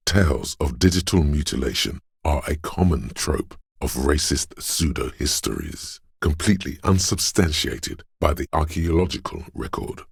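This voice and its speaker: A man's voice: no echo, clean studio sound, heavily acted. A man voicing a pedantic, know-it-all encyclopedic inner voice for a game, with erudite, dryly wry explanatory narration. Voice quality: very deep, gravelly, husky.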